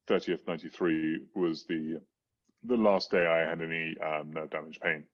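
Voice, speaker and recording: A middle-aged man with a low voice is being interviewed and recounting personal experiences. He speaks haltingly, stammering over and repeating words as he builds each sentence. The recording is somewhat dull and muffled. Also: fairly even intonation, dry recording. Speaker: interview guest. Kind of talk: personal storytelling in conversation.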